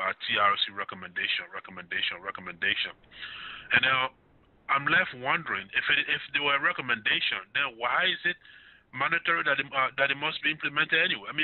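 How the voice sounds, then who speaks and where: A man's voice: mid-range voice, dry recording. A man hosting a call-in radio show, announcing the schedule and taking callers. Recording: phone-quality radio audio.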